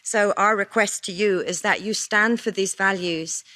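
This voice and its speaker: A woman on a hearing panel pleads her case in an earnest, emphatic way. Her voice sits at an ordinary middle pitch. She delivers a formal statement to the committee. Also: fairly even intonation, measured pace, pushed and bright. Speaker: civil society panelist.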